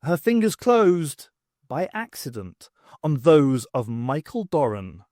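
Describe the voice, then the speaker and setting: Man, slightly high voice, audiobook narration, dramatic storytelling, dry close sound. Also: very animated, slow pace, a little mellow, no echo. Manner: dramatic reading with acted dialogue. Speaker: audiobook reader.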